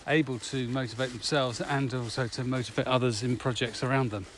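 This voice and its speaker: A man's voice: medium pitch, fairly even intonation, fairly quick, fairly bright tone. A man co-hosting a casual podcast, talking conversationally while out walking. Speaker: podcast co-host. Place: outdoors, on a village walk. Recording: noisy outdoor recording with ambient sound.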